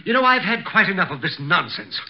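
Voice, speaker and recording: A man's voice: sits high, big pitch swings, fairly quick, very echoey. An actor playing a querulous, anxious, faltering male murder suspect in a 1950s radio drama. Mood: fed up. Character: fussy, jittery, indignant male murder suspect. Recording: dull vintage 1950s radio recording.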